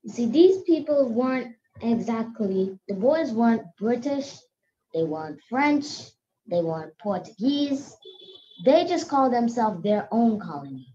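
A woman's voice: on the high side, sing-song, a little slow, warm tone. A female student giving an informal explanation in a history lesson. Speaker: student presenter. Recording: muffled, noisy online call.

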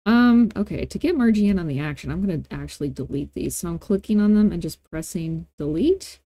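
Mellow, low-voiced woman giving casual tutorial. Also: animated, fairly quick, dry recording. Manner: informal, playful, thinking aloud. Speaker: tutorial host. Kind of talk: casual screen-recorded software tutorial.

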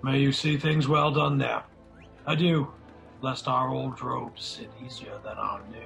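A man's voice: mid-range voice, steady tone, measured pace, creaky, a bit bright, faint music behind. A man acting as a grave, wary nobleman in an amateur radio drama.

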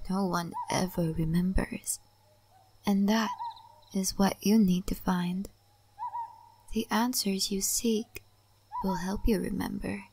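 A woman playing an ancient, wise and cryptic spirit-realm lady in a soft, breathy ASMR roleplay. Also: medium pitch, steady tone, slight background noise. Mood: calm, mysterious. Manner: soft, breathy, often whispering, unhurried.

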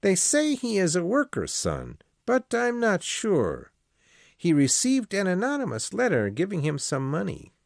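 A man voicing a cautious older priest in an audiobook, earnest and raised in pitch. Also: huge pitch swings, measured pace, vocal fry, no echo, studio quality, full character voice.

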